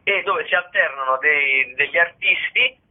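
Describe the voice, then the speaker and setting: A man's voice: on the high side, normal speed. A man, a comedian guest in a casual interview, rambling and repeating his phrases. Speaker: comedian, guest promoting a variety show. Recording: tinny phone-like radio sound.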